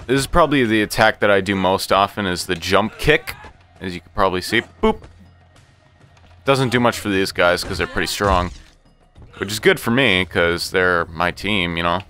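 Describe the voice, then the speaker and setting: A man's voice: deep voice, normal speed, long pauses, sharp tone, soft background music. A man giving casual, flippant gameplay commentary, joking and boasting with easy swearing. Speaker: gaming video host.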